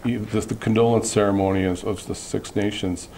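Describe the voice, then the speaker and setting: A man, a visiting artist, speaking in an interview in a thoughtful, halting way with many restarts. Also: fairly low pitch, steady tone, a little mellow, a little hiss or hum. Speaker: guest artist. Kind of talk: interview conversation.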